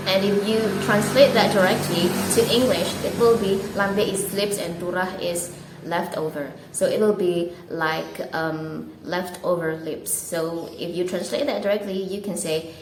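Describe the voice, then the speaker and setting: A woman who speaks natively, hosting an English-learning channel and explaining a lesson slowly and deliberately, with some filler words. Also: fairly low pitch. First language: Indonesian. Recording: echoey room with clear background noise.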